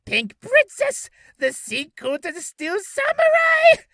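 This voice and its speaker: A man playing a geeky, creepy, excitable superfan in comic game style, moving between mumbles and manic excitement. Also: very high-pitched, takes it slowly, dry recording, studio quality, full character voice. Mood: manic inspiration. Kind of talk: comic video game character acting.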